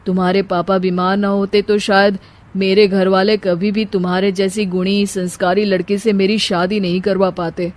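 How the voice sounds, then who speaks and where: A woman's voice: mid-range voice, level tone, normal speed, darkish tone, natural voice. A woman voicing a simple, meek young husband with a humble, guileless way of talking in a moral story. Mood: apologetic. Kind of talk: Hindi moral story dramatization.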